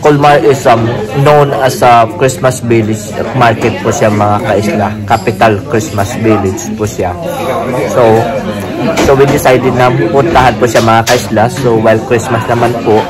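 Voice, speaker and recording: A man's voice: medium pitch, steady tone, measured pace, loud music behind the voice, over a noisy crowd. A man with a Filipino accent chatting casually as a travel vlogger, often addressing his viewers as he goes. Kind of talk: casual travel vlog chat. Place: out and about, streets and train stations. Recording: noisy outdoor and station background.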